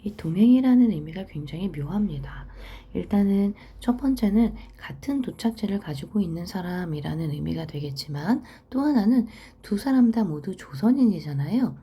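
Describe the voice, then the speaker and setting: Soft-spoken whispery woman teacher. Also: medium pitch, animated, normal speed, hardly any pauses, breathy edge. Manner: soft, half-whispered delivery. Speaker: literature teacher. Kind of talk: reading and explaining a story.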